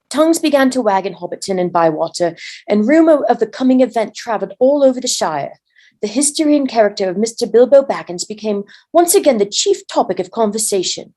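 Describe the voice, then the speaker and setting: A woman's voice: mid-range voice, warm tone. A woman volunteer reading a story aloud as narrator.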